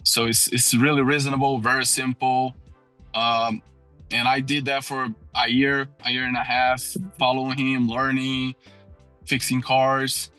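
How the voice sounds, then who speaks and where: A man in his thirties, originally from Brazil, talks with a clear Brazilian Portuguese accent and a bright, forceful voice. He speaks English as a second language, earnestly and with frequent restarts. Faint music sits behind him. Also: mid-range voice, level tone, unhurried. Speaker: guest, door-to-door auto hail repair salesman. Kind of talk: casual podcast conversation.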